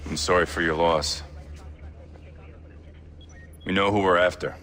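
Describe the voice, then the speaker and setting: An actor playing a gruff, resolute covert agent and team leader in a video game, speaking in terse commands. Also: male voice, sits low, fairly even intonation, measured pace, sharp tone, a little hiss or hum. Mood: stern resolve. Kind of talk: video game cutscene acting.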